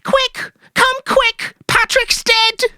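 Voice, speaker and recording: A narrator voicing a housewife who speaks in a bright, sing-song way with put-on cheer. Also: extremely high pitch, level tone, extremely slow, no room sound, studio quality, voice pushed up, heavily acted. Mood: frantic, crying. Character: Mary Maloney, bright falsely cheerful housewife.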